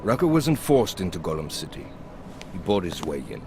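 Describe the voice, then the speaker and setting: A man's voice: sits low, sing-song, normal speed, over music. An actor playing a confident, dismissive male intelligence operative in a video game cutscene, matter-of-fact in delivery.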